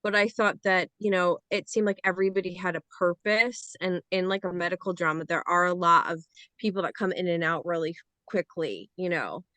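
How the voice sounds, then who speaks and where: A woman with a lowish voice, recorded close and dry with no room around her. She rambles as she gives her reaction to a script. She fills the gaps with "like" and "you know". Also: fairly quick, sharp tone, no echo. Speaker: actor on a script reading panel. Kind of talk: casual feedback discussion.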